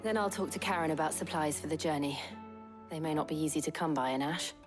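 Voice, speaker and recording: A woman playing a calm, practical, wary companion in a fantasy game, speaking soberly. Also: deep voice, quick pace, over music, natural voice. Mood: serious, wary.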